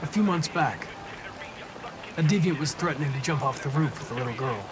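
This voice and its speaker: A man's voice: a little high, a little fast, over music, lightly acted. A man playing a polite young android detective in a video game, speaking formally and precisely in an earnest, analytical way. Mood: earnest, composed.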